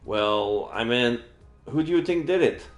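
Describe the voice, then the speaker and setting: A man's voice: medium pitch, expressive, a little slow. A man reading visual novel dialogue and narration aloud as a game streamer. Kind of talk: live read-aloud of visual novel lines. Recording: faint game music behind.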